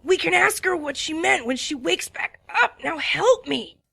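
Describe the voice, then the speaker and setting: Exasperated little dragon boy voiced by a woman, groaning and sighing, fairly high pitched. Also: lively intonation, normal speed, gravelly, bright, forceful tone, no room sound, full character voice. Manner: grumbling and exasperated. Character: exasperated young male baby dragon helper. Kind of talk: fan dramatic reading.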